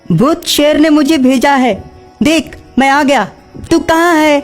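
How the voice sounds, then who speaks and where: A woman's voice: high-pitched, slow. A woman voicing a small, helpful jungle animal in a children's cartoon. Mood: bold, taunting. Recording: music behind the voice.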